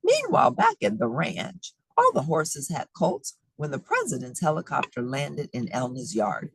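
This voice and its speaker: A woman's voice: low-pitched, wildly swooping pitch, moderate pace, creaky, a bit bright, husky, dry recording. A woman reading a children's picture book aloud with expression and playful asides. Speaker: storybook reader.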